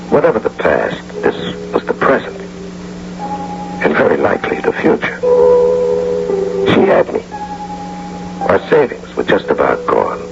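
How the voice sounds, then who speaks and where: A man's voice: low voice, creaky, exaggerated voice. An actor playing a proud, indignant out-of-work engineer and family man in a radio drama. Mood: rueful narration. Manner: flustered, protesting, stammering when upset. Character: indignant, proud unemployed engineer husband and father. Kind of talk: 1980 radio drama acting. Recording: noisy, muffled old radio broadcast.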